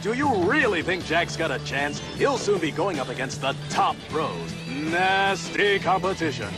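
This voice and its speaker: Brash male racing-show host: high, swooping, theatrical video game showman, music underneath. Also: expressive, unhurried. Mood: cocky showmanship. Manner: showy, cocky, theatrical. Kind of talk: hyped sports broadcast patter. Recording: music behind the voice.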